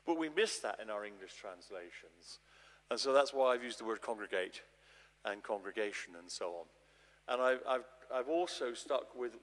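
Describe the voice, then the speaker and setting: A man giving an informal, explanatory public talk as a translator, restarting his phrases as he goes. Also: medium pitch. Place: large church, addressing an audience. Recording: long echoey church reverb.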